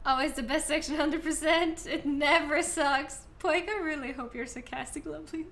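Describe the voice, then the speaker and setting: A woman's voice: high-pitched, fairly even intonation, moderate pace, a bit bright. A young Norwegian woman with a Norwegian accent streaming a video game, chatting casually and answering chat, a little self-deprecating. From Norway.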